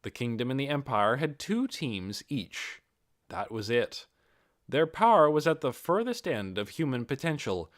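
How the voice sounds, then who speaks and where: A man narrating a fantasy novel in an expressive, scripted reading style. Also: mid-range voice, unhurried. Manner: expressive, performs dialogue in character voices. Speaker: audiobook reader.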